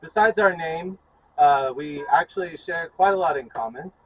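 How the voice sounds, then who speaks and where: A man giving a eulogy for his grandfather at an outdoor funeral, speaking loudly with frequent "um"s. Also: on the high side, moderate pace, lo-fi sound, slight background noise. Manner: loud, self-assured delivery with many "um"s. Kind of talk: funeral remembrance speech.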